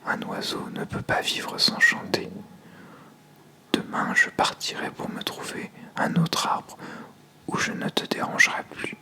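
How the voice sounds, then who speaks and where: A storyteller voicing a small, polite and gentle songbird in a pleading whisper for an ASMR tale. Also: unhurried. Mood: sad, lonely.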